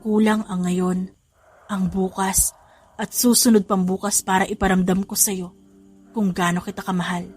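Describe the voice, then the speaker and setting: A woman's voice: mid-range voice, flat delivery, normal speed, a little mellow, breathy edge, natural voice. A woman voicing a devoted, playful male vampire nobleman in a romantic audio drama, speaking earnestly, with music behind. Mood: tender, devoted.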